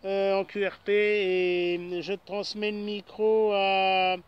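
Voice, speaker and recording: A man's voice: high-pitched, level tone, slow pace, smooth, highs cut off. A man chatting casually as an amateur radio operator, speaking from a portable station outdoors.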